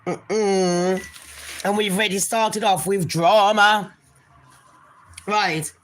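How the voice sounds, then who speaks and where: A woman with a nasal voice hosts a gossip livestream in a mid-pitched tone. She chats loosely and rambles, often turning to address her viewers directly as people or darlings. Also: steady tone, very slow, slight background noise. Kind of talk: casual live chat to viewers.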